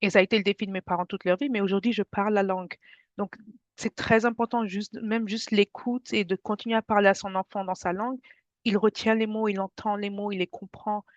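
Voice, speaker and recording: A woman's voice: mid-range voice, steady tone, a little fast, no echo. A woman from France leading an online workshop for parents, speaking in a friendly, conversational way and addressing the audience directly. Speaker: workshop presenter. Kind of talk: informal webinar presentation to parents. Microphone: video call. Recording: dull, dry video-call audio.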